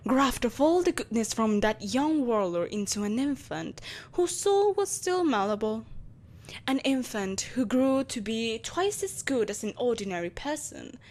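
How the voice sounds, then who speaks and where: Evil empress for audio roleplay, played by a woman: female, slightly high voice, imperious, possessive, seductive, formal theatrical phrasing. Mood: haughty, amused. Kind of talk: scripted audio roleplay monologue.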